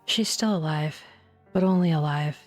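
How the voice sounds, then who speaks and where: A weary researcher played by a woman speaks gravely and deliberately in a low voice. She sounds sombre yet resolute, as in a game drama, with faint music underneath. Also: darkish tone. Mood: guilt-ridden. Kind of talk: English fan dub of an anime game.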